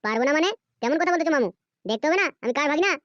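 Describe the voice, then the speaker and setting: Cartoon gang boss's nephew played by a woman: eager, cheeky lad, high and very quick. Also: lively intonation, a bit bright, no echo, dull audio, soft background music. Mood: cocky, eager. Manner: boastful, cheeky. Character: cocky, eager young nephew of a gang boss, male. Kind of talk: amateur cartoon dubbing.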